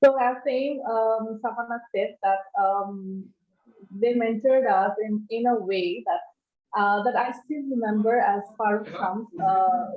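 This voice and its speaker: A woman with a Bangladeshi accent answering interview questions in a halting, repetitive way. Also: on the high side. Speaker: interview guest, advertising creative. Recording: echoey room, tinny phone-like sound.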